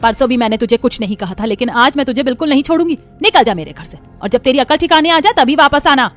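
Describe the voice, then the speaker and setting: A woman voicing a protective middle-aged mother-in-law in an animated family drama, motherly and firm. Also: high-pitched, quick pace, soft background music. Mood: stern, indignant.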